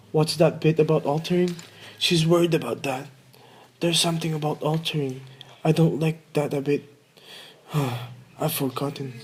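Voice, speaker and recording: Man playing a husky, forgetful old grandfather. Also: on the high side. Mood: muttering, fretful. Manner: muttering, repeating himself, rambling. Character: forgetful old grandfather, grumpy yet doting husband. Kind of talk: radio play acting.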